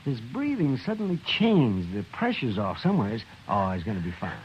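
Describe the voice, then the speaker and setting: An actor playing a kindly old country doctor who chuckles and reassures. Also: male voice, medium pitch, wildly swooping pitch, moderate pace, a little hiss or hum. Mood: reassuring. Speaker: town doctor. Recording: muffled old radio broadcast.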